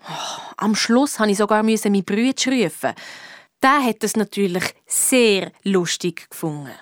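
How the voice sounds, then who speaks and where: A woman's voice: medium pitch, lively intonation, unhurried, dry recording, studio quality, lightly acted. A woman playing a cheeky, stubborn schoolgirl detective in a German audio drama, with a Swiss accent and colloquial storytelling. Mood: eager, confiding.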